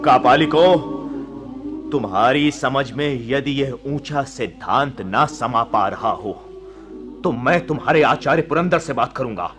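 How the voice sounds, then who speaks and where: An actor playing a bold, indignant, righteous hero in a radio play, declaiming defiantly. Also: male voice, medium pitch, measured pace, music in the background, close to an everyday voice. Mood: challenging. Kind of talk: Hindi radio play acting.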